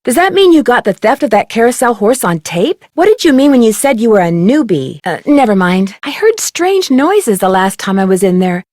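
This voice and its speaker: A woman's voice: fairly high pitch, big pitch swings, moderate pace, hardly any pauses, darkish tone, no room sound, clean studio sound. A woman playing an eager, polite young amateur detective in an adventure game, with a perky, inquisitive, scripted delivery. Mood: curious, then guarded, curious. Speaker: game protagonist and tutorial guide.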